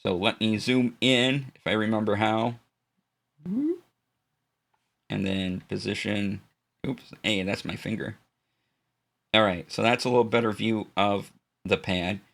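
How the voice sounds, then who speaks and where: Low-voiced man demoing gear on stream. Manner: relaxed, halting explanations with fillers and restarts; greets chat viewers warmly. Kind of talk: casual live-stream tech demo. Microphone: studio dynamic mic.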